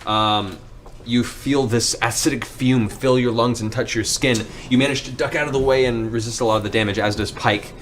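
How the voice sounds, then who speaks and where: A man's voice: medium pitch, steady tone, moderate pace. A man running a tabletop fantasy game as game master, narrating in a descriptive, dramatic storytelling way. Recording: music under the voice.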